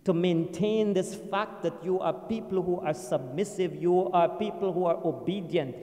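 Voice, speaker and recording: An older man giving a Friday sermon in a mosque, earnest and emphatic, repeating phrases and slowing down to stress them, speaking into a stand mic. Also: high voice, warm tone. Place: mosque prayer hall. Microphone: stand mic at a lectern. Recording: echoey mosque hall.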